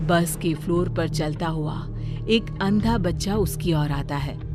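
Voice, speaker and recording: A woman's voice: on the low side, slow pace. A woman narrating a scripted story in a dramatic storytelling style. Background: background music.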